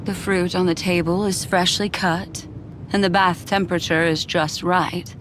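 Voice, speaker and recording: An actress playing a quiet, perceptive young woman in a video game, speaking slowly in a hushed, observant way. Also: lowish voice, level tone, slight background noise. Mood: wary. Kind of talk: game cutscene voice acting.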